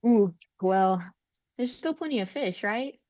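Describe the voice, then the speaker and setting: A woman's voice: mid-range voice, animated, measured pace, warm tone, dry recording, natural voice. Actress as an upbeat young woman, dry muffled sound. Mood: awkward, encouraging. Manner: cheerful, hesitant, earnest. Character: upbeat, kind young woman survivor, gleefully optimistic. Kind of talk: script table read, naturalistic acting.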